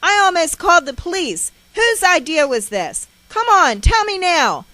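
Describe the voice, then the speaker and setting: A woman storyteller voicing an enraged, abusive mother, harsh and shouting, who scolds and threatens. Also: very high-pitched, big pitch swings, unhurried, no room sound, voice pushed up, heavily acted. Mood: enraged ranting.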